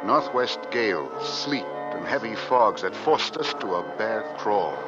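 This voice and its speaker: An actor playing a dutiful ship's navigator narrating an Arctic voyage in formal, dramatic old-time radio style. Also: male voice, on the low side, big pitch swings, a little slow. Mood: grave, foreboding. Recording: dull vintage radio recording with music.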